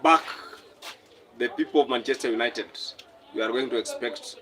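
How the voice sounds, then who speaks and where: A man's voice: mid-range voice, moderate pace, sharp tone, slight background noise, low-quality recording. A young man from Kenya hosting a casual live stream, reading out viewer comments and repeating his phrases as he talks.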